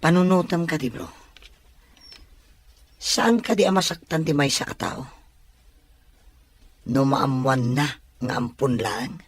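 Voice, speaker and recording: A man's voice: on the high side, slow pace, pauses often, no echo. A man with an Ilocano accent playing a cautious, considerate young friend giving advice in an audio drama. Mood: cautioning. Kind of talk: radio drama acting.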